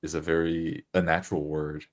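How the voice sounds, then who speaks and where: A man's voice: rumbling low, little pitch movement, normal speed. A man in a casual group conversation, picking his words carefully with hesitations and repeats.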